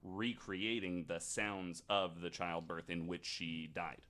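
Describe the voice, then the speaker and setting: Guy from the US with a low voice, podcast host chatting through stories, kinda halting. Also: flat delivery, sharp tone. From United States. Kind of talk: casual storytelling chat.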